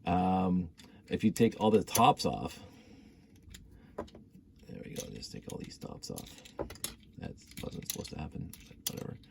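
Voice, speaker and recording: A man's voice: low-pitched, sing-song, measured pace, creaky, pauses often, darkish tone, a little hiss or hum. A man with a stuffy cold, thinking aloud while he builds, rambling and humming as he works. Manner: rambling, self-deprecating, hums and mutters while working. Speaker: building hobbyist vlogger.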